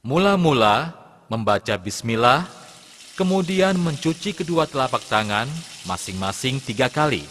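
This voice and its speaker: A man, an Islamic religious teacher, giving measured step-by-step instruction in a scripted lesson narration for children. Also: medium pitch, expressive, fairly bright tone. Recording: long hall reverb, clear background noise.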